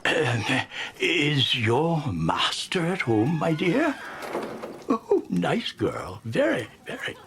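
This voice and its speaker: An old miser turned kindly, in an audio drama. His delivery is very slow and drawn out, swooping wildly up and down as he gushes and stammers with delight. There is clear background noise around him. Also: on the high side, huge pitch swings, heavily acted. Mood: bashful, tender. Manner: effusive, stammering, gushing.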